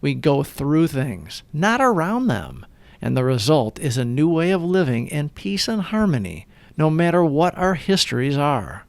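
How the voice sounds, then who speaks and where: A man with a slightly high, gravelly voice reads a daily meditation aloud. His intonation moves up and down a great deal, and his phrasing is earnest and deliberate, like a host guiding listeners through a devotional text. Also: no room sound. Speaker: host of a recovery meditation reading. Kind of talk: scripted devotional read-aloud.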